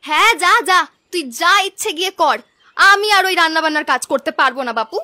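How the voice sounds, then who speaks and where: Woman as a shrill, insolent young village girl. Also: expressive, fast, heavily acted. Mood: spiteful. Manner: sharp-tongued, sneering. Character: spoiled, insolent, ambitious young village granddaughter. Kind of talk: animated folk tale acting.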